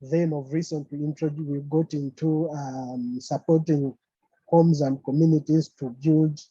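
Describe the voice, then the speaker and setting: A man's voice: a little high, fairly even intonation, a little slow, mellow, breathy edge, dry recording. A man from Uganda giving an online conference presentation, talking hesitantly with many fillers over a video call. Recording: dull, lo-fi call audio.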